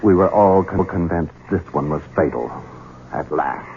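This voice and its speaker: Man acting a deep-voiced, resentful, greedy grown son. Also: moderate pace, a little hiss or hum, close to an everyday voice. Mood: coldly menacing. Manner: theatrical, bitterly sarcastic. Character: resentful, greedy 38-year-old son, mocking his mother. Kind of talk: radio drama acting. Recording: dull vintage radio broadcast with music.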